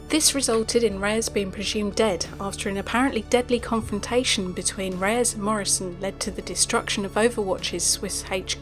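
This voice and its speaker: Brief: female lore narrator, conversational and playful, soft music underneath. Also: mid-range voice. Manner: chatty, speculative, light jokes. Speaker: video narrator.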